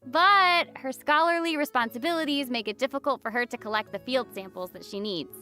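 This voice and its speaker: A woman's voice: high-pitched, a little fast, sharp tone. A woman co-presenting a video game update program in an upbeat, scripted way with a playful, enthusiastic manner. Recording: music behind the voice. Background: background music.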